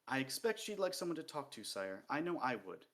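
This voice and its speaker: A man's voice: mid-range voice, big pitch swings, brisk, a bit bright. A man reading a novel aloud while voicing an earnest young female dwarf officer who speaks politely.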